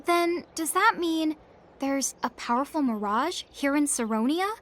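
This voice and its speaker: An actress playing a spirited, sensible young heroine in a fantasy video game, with a teasing manner. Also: female voice, sits high, lively intonation, measured pace, a bit bright, slight background noise. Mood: curious, teasing. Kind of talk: video game cutscene acting.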